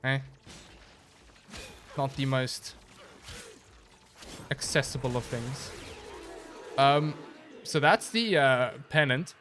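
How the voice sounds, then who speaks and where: A man streaming a video game live, chatting casually and answering his chat in a relaxed, rambling way, sounding a little stuffy and nasal. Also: mid-range voice, takes it slowly, pauses often, a little hiss or hum. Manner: laid-back, rambling, reads chat aloud and answers.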